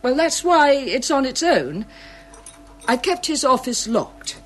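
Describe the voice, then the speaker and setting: An actress playing a nervous, evasive office clerk who answers hesitantly in a radio play. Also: female voice, sits high, sing-song, slow pace, background noise, heavily acted. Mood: uneasy. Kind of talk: radio drama acting.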